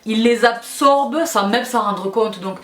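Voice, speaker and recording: A woman's voice: on the high side, measured pace, a bit bright. A woman chatting casually and affectionately to her followers as a video host, emphatic and given to repeating her phrases. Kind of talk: casual question-and-answer chat to followers. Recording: echoey, roomy sound.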